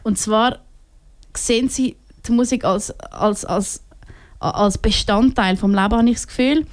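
Hesitant Swiss German-accented singer. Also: female voice, mid-range voice, measured pace. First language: Italian. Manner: hesitant, many restarts and fillers, laughs easily. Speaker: singer-songwriter guest. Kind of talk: casual interview answers.

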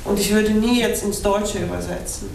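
A woman's voice: medium pitch, steady tone, normal speed, creaky, a little mellow, a little hiss or hum. Thoughtful woman, echoey distant panel mic. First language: Belarusian. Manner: thoughtful, hesitant, many fillers. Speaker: translator and moderator. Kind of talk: panel discussion. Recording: echoey room from far off.

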